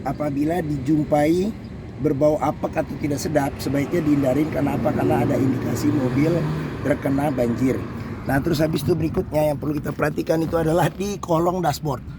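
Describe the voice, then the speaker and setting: Hoarse man, fairly high voice, slow halting car walkaround, traffic noise behind. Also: fairly even intonation. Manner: slow, halting delivery with many fillers. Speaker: used-car dealer host. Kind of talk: walkaround car review with buying tips.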